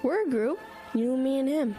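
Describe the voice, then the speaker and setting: A woman's voice: sits high, lively intonation, moderate pace, warm tone, soft background music. An actress voicing an eager young boy who loves horror films and sounds whiny and earnest. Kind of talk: audio drama acting.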